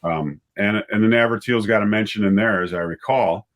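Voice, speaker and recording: A middle-aged man with a fairly low voice, speaking as an interview guest. He tells his story conversationally, doubling back on words and correcting himself as he goes. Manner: halting, with repeated words and self-corrections. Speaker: writer and director, interview guest.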